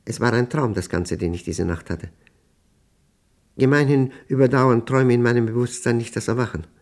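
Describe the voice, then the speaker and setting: An actor in a German radio play, playing a troubled, methodical professor who dictates a diary in deliberate fragments. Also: male voice, mid-range voice, a little mellow, close to an everyday voice. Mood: pedantic, uneasy. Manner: deliberate, fragmented phrasing, precise, pedantic. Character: troubled methodical male professor recording his nightmares as a diary.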